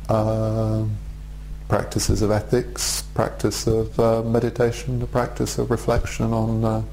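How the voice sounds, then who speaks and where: A man in his forties with an English accent, born in Russia, giving a measured, hesitant video address as a Buddhist teacher. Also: lowish voice, warm tone, slight background noise, low-quality recording. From Russia, raised in England. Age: 40s. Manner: measured, thoughtful, many hesitations. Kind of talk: direct-to-camera video address.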